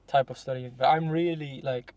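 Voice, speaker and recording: A young man thinks out loud in a relaxed podcast chat, leaning on "like" and "you know" and often restarting his sentences. The recording is dry and close, with no room sound. His pitch sits at a middle level for a man. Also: normal speed, no echo. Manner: reflective, many fillers and restarts. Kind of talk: casual conversation.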